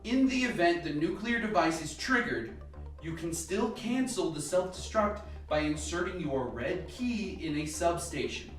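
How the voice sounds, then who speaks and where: A man acting in an amateur radio play as a calm, instructive scientist briefing a lab team with measured delivery. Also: a little high. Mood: matter-of-fact briefing. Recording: echoey room, distant mic, faint music.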